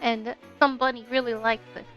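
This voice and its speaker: High-pitched, playful woman streamer. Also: flat delivery, fairly quick, muffled recording. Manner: bubbly, playful, giggly. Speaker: VTuber game streamer. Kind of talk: casual livestream chat with read-aloud game narration. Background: game music.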